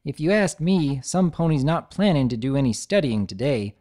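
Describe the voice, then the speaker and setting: Man voicing a squeaky little boy dragon, cocky, excitable, very high pitch, bouncy. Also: normal speed, close to an everyday voice. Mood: cheeky. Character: small cheeky boy baby dragon.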